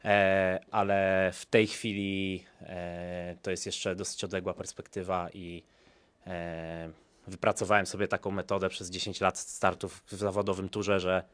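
Male athlete, 30s, low-pitched, loose conversational answers, handheld mic, dry room. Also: no echo. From Poland. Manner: relaxed, chatty, many fillers and repeats. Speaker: pro windsurfer. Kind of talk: press conference answer. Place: small conference room, indoors. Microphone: handheld microphone.

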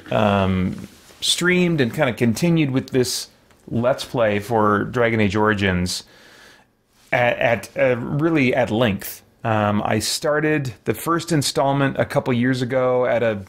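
A man in his forties chatting casually as he hosts a game livestream, rambling and self-deprecating. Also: medium pitch. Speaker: streamer, host of a let's play. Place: small room at home.

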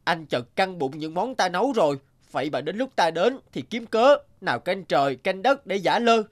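A man's voice: high-pitched, big pitch swings, normal speed. A man voicing a good-natured, earnest otter in a children's cartoon. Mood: scheming. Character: honest, good-natured otter, later quietly vengeful.

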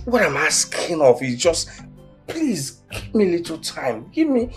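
An actor playing a struggling, hot-tempered young man who swings between pleading and shouting. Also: on the high side, huge pitch swings, unhurried, faint music behind. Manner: pleading then shouting in bursts. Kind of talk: Nigerian film drama acting.

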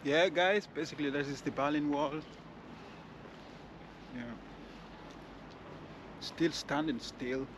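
Man, slightly high voice, halting repetitive delivery, casual walking-tour commentary, clear street noise. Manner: repetitive, halting phrases with non-standard grammar. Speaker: vlogger and walking-tour host. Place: outdoors on a city street.

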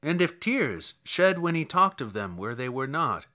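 A man voicing an old, reflective country priest in a dramatic verse reading, telling tales in a measured, plainspoken way. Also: mid-range voice, very animated, bright, forceful tone. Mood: fond, mournful.

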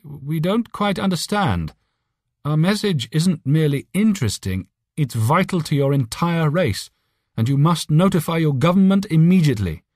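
Man voicing an earnest alien, pitched up high. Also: animated, moderate pace, a little mellow, no echo, clean studio sound. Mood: worried. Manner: urgent, earnest, careful phrasing. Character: alien telepathic voice, urgent, friendly, earnest, worried. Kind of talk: audiobook character voice.

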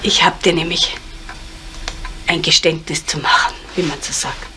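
An actress playing a bitter, desperate middle-aged woman in a crime radio drama, halting and repeating words, sometimes dropping into whispers. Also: low-pitched, steady tone, close to an everyday voice. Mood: bitter confessing. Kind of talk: naturalistic German radio crime drama acting. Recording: noisy 1970s radio play recording.

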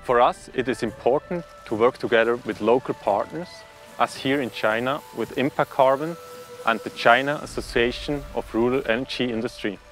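Measured man explaining a climate project. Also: mid-range voice, fairly bright tone, soft background music. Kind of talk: documentary interview.

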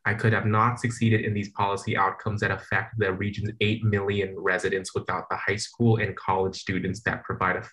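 Young man, somewhat low voice, earnest and formal, small restarts; webcam audio from a plain room. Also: a bit bright, rough recording. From San Francisco Bay Area. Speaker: award recipient. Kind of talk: prepared acceptance speech. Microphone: video call webcam.

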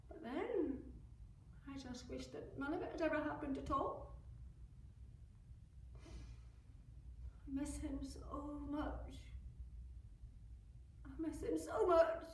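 An actress performing a theatrical monologue as an embittered woman who stabbed her husband, speaking with a low, intense, brooding delivery. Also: pushed and bright, far from the mic, a little hiss or hum, full character voice. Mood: grieving. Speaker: actor performing a stage monologue. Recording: echoey room, mic from afar.

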